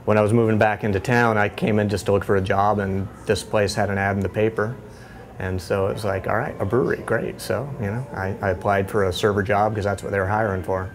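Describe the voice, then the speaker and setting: A man in his thirties with a lowish voice talks casually through a brewery tour, easygoing and loose, stopping often on fillers and restarts. He speaks on a lapel mic among steel tanks in a small brewery tucked inside a bar. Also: fast, a little hiss or hum. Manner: relaxed, many fillers and restarts. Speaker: head brewer.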